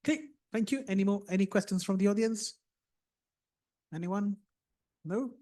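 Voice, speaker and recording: A man's voice: high voice, moderate pace, dark tone. A man moderating a conference awards session, speaking politely and formally.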